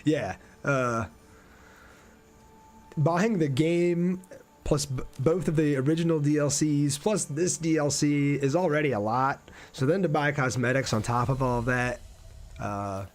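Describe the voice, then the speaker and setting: A man with a mid-pitched voice gives casual gameplay commentary on a live stream. He thinks aloud as he goes and talks informally to his viewers. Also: a little slow, faint music behind.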